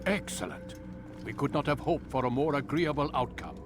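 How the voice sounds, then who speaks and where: An actor playing a commanding, calculating mentor of medieval assassins in a video game, speaking in a measured, formal way. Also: male voice, medium pitch, natural voice. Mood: authoritative. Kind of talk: video game drama acting. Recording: loud game music under the voice. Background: video game music.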